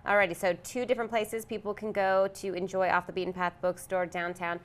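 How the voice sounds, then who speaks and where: A woman hosting a casual, chatty interview, responsive and quick to affirm what she hears. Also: medium pitch, level tone. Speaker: interviewer, show host.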